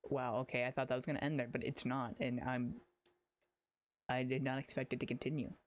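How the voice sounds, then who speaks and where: A man giving casual livestream game commentary, halting and rambling as he repeats his phrases. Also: medium pitch, level tone, slight background noise. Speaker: game streamer.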